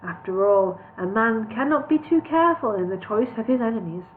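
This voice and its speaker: A woman's voice: a little high, expressive, fairly quick, phone-quality sound, a little hiss or hum, natural voice. A woman voicing a haughty male elf lord who speaks in a languid drawl.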